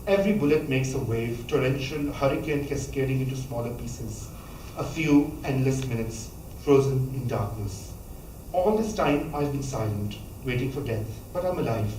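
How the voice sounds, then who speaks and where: A man, reading a memoir passage aloud at a measured pace, now and then stumbling and restarting a word. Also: medium pitch, darkish tone, slight background noise. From Pakistan. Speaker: author reading from his book. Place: college lecture hall. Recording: echoey hall, voice from a distance, faint ambience.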